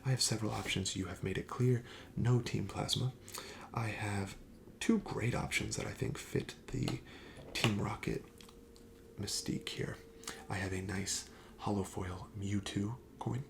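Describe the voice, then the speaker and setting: Soft-spoken, low, creaky man doing ASMR. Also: a little hiss or hum. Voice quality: soft, breathy, often near whisper. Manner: gentle, attentive, polite salesman patter. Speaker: ASMR roleplayer playing a card shop clerk.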